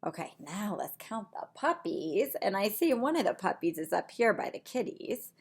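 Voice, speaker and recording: A middle-aged woman reading a picture book to young children in a deliberate, sing-song way, with simple asides, recorded in a room at home. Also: medium pitch, big pitch swings, husky. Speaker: preschool teacher reading aloud. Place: small indoor room at home.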